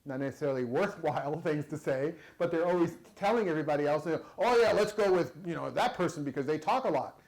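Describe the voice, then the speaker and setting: A man's voice: a little high, animated, fast talker, few pauses, a little mellow, rough recording. A man giving a conversational workshop talk to an audience, chatty and repeating words as he goes. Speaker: presenter.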